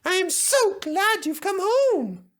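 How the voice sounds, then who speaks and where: A man's voice: very animated, slow pace, fairly bright tone, heavily acted. A man voicing an anxious, eager young male steam engine in a storybook reading. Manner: eager, polite, high and strained.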